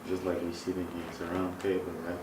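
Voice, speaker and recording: A man's voice: low voice, monotone, fast. A man in a casual group discussion, speaking in short, rhythmic, repeating phrases. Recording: echoey, noisy room, distant mic.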